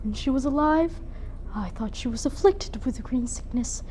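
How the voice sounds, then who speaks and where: A woman's voice: on the high side, a little mellow, slight background noise, close to an everyday voice, low-quality recording. A woman performing a dramatic monologue as a grieving, bitter, proud young noblewoman mother, with an emotional theatrical delivery. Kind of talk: dramatic monologue for speech competition.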